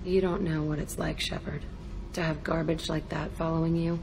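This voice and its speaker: Bitter young female fighter, low-pitched, profane, defiant, video game cutscene, cavernous echo. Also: flat delivery, moderate pace, darkish tone. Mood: guarded, wry. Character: hardened, foul-mouthed young female biotic ex-prisoner, angry and wounded. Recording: echoey hall, clear background noise.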